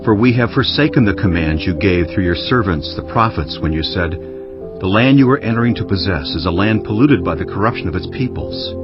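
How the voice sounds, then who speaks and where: A man narrating dramatized scripture in a solemn, measured manner. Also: lowish voice. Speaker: Bible narrator. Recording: dull audio under music. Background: prominent background music.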